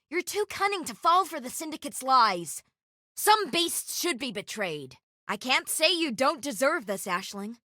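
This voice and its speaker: An actress playing a stern, vengeful interrogator in a video game, clipped, commanding and threatening. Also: female voice, high-pitched, pushed and bright, no echo, studio quality, heavily acted. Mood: scornful. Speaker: game character voice.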